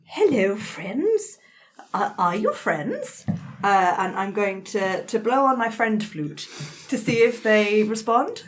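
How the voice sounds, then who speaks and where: A woman's voice: medium pitch, big pitch swings, takes it slowly. A woman taking part as a player in a tabletop game, with casual, improvised role-play banter.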